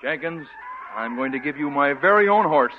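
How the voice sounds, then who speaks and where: A man playing a sly, brisk cavalry colonel. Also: fairly high pitch, expressive, background noise, natural voice. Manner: brisk, hushed, commanding. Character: sly scheming middle-aged male cavalry colonel. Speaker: comedy actor. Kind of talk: 1940s radio comedy acting. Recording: thin phone-like old radio recording.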